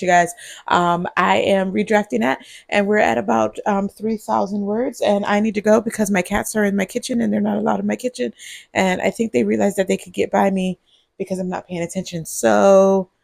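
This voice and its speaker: A woman talks straight to her viewers through an earbud mic, groggy on cold medicine and drifting from thought to thought. Her voice is husky with a gravelly edge, mid-pitched. The sound is dry and close, with no room around it. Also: darkish tone, dry recording. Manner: rambling, giggly and drowsy. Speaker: vlogger. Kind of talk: casual vlog monologue.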